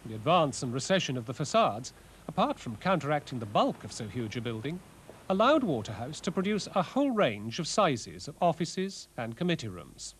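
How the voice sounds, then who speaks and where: A man giving scripted, scholarly documentary narration in a measured way, with wry asides. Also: a little high, very animated, slight background noise. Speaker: presenter, art and architecture lecturer. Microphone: voice-over narration.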